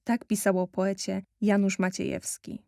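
A woman reading scripted documentary narration for an audio play in a measured, literary way. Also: mid-range voice, level tone, creaky, warm tone, no room sound, studio quality, faint music behind.

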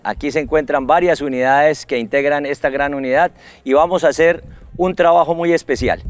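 A man's voice: on the high side, dry recording. A middle-aged Colombian man, an army general, hosting and interviewing in a formal, courteous way. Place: open front of a large military hangar, outdoors.

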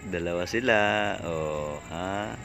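A Filipino man narrates his walk through a floating restaurant at night in a slow, low, joking voice. He talks casually into a handheld camera, and loud music plays behind him. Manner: slow, joking running remarks. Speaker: vlogger. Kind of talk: casual touring commentary. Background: music playing.

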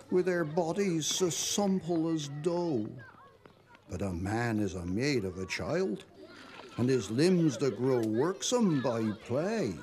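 A Dorset man recites rustic dialect verse in a fairly high voice, with a very animated rise and fall. He takes his time over each phrase and leans on key words like a storyteller. There is a light but noticeable noise behind him. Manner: expressive, emphatic storytelling. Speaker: poetry reader. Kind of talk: dramatic dialect poetry recitation. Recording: noticeable background noise, 1990s television soundtrack.

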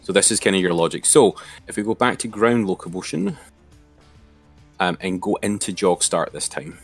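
A man's voice: deep voice, animated, normal speed, soft background music. A man giving an informal, step-by-step screen-share tutorial as an instructor.

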